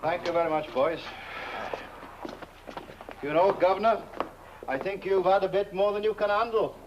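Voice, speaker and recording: An actor playing a chatty, self-important English village constable in a comic film, rambling and hesitant, with a British accent. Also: male voice, sits high, steady tone, moderate pace, close to an everyday voice. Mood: bossy, jovial. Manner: rambling, pompous, hesitant with repeated phrases. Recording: dull, slightly noisy old film soundtrack.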